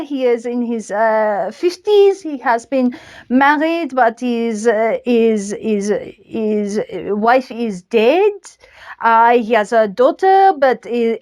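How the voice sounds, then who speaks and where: A woman with a French accent hosting a casual book review. She talks in halting phrases, restarts often, and sprinkles in French words. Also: fairly high pitch, darkish tone. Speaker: book channel host.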